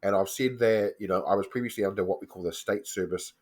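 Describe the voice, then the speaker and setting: A man with a New Zealand accent talks in a somewhat low voice that is slightly croaky from a cold. His delivery is loose and chatty, full of ums and quick corrections as he points things out on screen. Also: darkish tone. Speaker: personal finance vlogger. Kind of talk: casual screen-share walkthrough.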